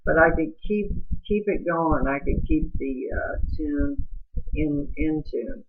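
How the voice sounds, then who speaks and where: A middle-aged woman with a low, rough-sounding voice, telling her life story in an earnest, confiding way and speaking straight to the listener. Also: takes it slowly. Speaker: vlogger. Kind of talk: personal life story monologue. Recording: tinny phone-like audio.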